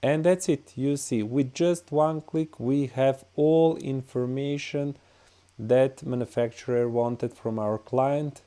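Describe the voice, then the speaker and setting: Slow, mellow-voiced Bosnian man. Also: medium pitch, steady tone. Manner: halting, many "uh" and "all right" fillers. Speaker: tutorial host. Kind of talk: screen-recorded software tutorial.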